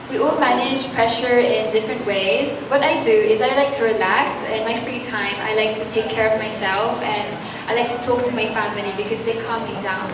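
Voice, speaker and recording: A woman in her twenties from France, a pageant candidate giving poised, measured answers at a press meet. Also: fairly high pitch, steady tone. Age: 20s. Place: hotel function room. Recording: echoey room, distant mic, background hum.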